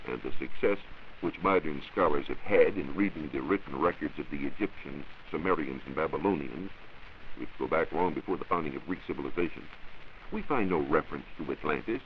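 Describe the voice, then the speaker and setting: An American man giving a formal, measured science talk as a reporter in a scripted 1940s radio broadcast. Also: rumbling low. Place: radio studio. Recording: dull, hissy 1940s radio recording.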